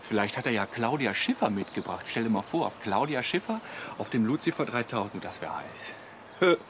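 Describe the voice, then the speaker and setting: Campsite buddy, easily impressed, varied intonation, radio play over noisy outdoor ambience. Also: male voice, lowish voice, very animated, moderate pace. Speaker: actor in a radio comedy. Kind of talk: German radio play acting.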